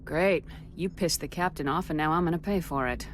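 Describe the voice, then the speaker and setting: An actress playing a no-nonsense, assertive military commander in a video game, direct and questioning. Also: female voice, low voice, a little fast, a little hiss or hum, lightly acted. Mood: wry. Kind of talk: video game cutscene acting.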